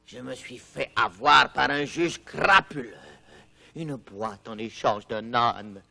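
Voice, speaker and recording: A man's voice: mid-range voice, takes it slowly, gravelly, bright, forceful tone, faint music behind, exaggerated voice. A man playing a poor, honest water carrier in a French cartoon dub, plaintive and full of exclamations. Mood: lamenting.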